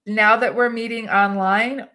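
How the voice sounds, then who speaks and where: A woman giving HR advice in a live webinar discussion, talking in an animated way, acting out quoted dialogue and laughing often. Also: a little high, unhurried. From English-speaking country. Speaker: HR expert guest.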